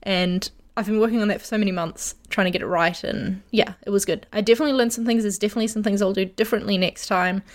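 A woman's voice: medium pitch, fairly even intonation, fast, few pauses. Enthusiastic woman podcast co-host. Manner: friendly, enthusiastic, explains step by step. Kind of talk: casual podcast chat.

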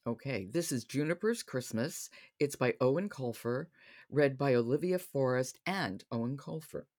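A woman's voice: rumbling low, expressive, a little slow, darkish tone. A woman hosting a conversational interview, responsive and inquisitive. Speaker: host.

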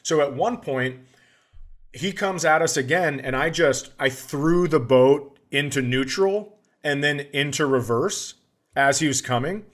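A middle-aged man hosting a comedy podcast, telling stories casually with swearing and animated outbursts. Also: fairly high pitch, a little slow. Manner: profane, animated when acting out his outbursts.

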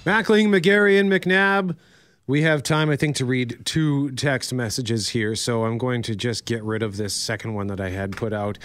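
A man's voice: mid-range voice, steady tone, moderate pace, a bit bright, no room sound, faint music behind. A man co-hosting a morning radio show, chatting casually and reading out the phone number and prizes from his studio mic. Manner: conversational, reads phone numbers and promos. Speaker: radio co-host. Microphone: studio mic, separate room.

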